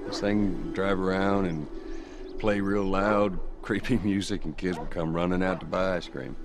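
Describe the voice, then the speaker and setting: A man playing a gruff, weary middle-aged outbreak survivor in a video game, with a gravelly, terse delivery. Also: low voice, fairly even intonation, moderate pace. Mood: wry.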